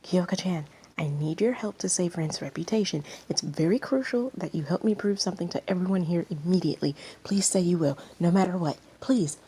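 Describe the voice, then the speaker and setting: A woman's voice: lowish voice, brisk, dark tone, husky. A woman voicing an anxious, pleading male talent manager with an urgent, insistent manner in a story reading.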